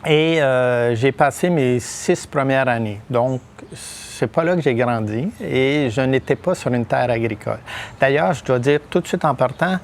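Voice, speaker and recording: A middle-aged man from Quebec with a Québécois accent, talking as a podcast guest, halting often with fillers and restarts. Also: mid-range voice, slight background noise. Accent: Québécois French. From Quebec, Témiscamingue. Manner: hesitant, many fillers and restarts. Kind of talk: podcast interview.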